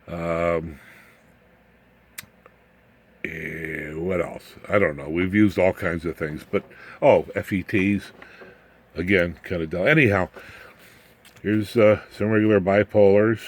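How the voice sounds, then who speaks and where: A man's voice: deep voice, steady tone, slow, pauses often. A man explaining electronics informally as a hobbyist presenter, in a folksy, rambling, slangy manner.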